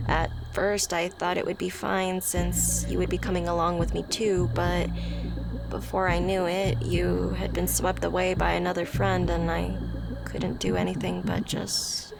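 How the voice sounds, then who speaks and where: Actress as shy, anxious young woman confiding in a friend, ASMR roleplay. Very unhurried, halting delivery over music. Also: fairly low pitch, vocal fry. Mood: downcast, anxious. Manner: hesitant, halting, soft-hearted confiding. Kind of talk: ASMR roleplay audio drama. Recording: music behind the voice.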